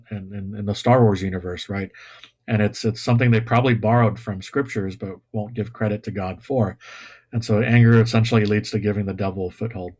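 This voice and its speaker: A man preaching a sermon with a somewhat low voice. He talks in a loose, conversational way and makes self-deprecating jokes and pop-culture references. He is speaking to a congregation gathered online. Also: darkish tone. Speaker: pastor. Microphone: video call or livestream.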